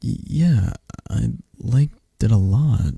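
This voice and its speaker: A man voicing a shy, affectionate best friend in an ASMR roleplay, speaking softly and stammering with nerves. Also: medium pitch, lively intonation, slow, creaky, husky, no room sound, heavily acted. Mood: playful. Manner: soft-spoken, stammering, gentle.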